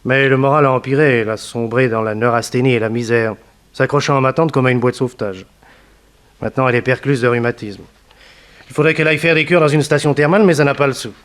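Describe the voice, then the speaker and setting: Male actor for glib, defensive man about town under questioning, vintage French radio play. Mid-range voice, quick delivery. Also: medium pitch, steady tone, a bit bright, close to an everyday voice. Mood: disdainful. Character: evasive, haughty male suspect, indebted man about town. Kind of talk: 1960s French radio drama acting.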